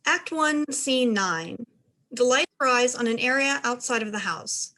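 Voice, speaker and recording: A woman serves as narrator of a play reading. Her voice is a little high, and she reads out scripted stage directions between the actors' parts. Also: expressive, unhurried, bright, forceful tone, rough recording.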